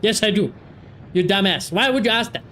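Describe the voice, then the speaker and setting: Man, slightly high voice, casual livestream rant, animated, blunt, swearing, faint background noise. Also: brisk. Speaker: live streamer. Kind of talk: casual livestream chat, reacting and ranting.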